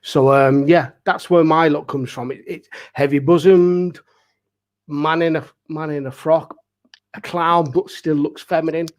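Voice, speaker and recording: A man's voice: fairly high pitch, steady tone, unhurried. A man chatting casually as a pantomime performer on a panel, speaking emphatically in short bursts.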